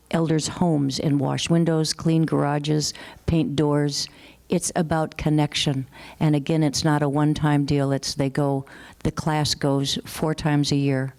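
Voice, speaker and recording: Low, steady Minnesotan woman educator. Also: unhurried. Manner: steady, matter-of-fact listing. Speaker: school educator, panelist. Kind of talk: panel discussion remarks. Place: conference session room.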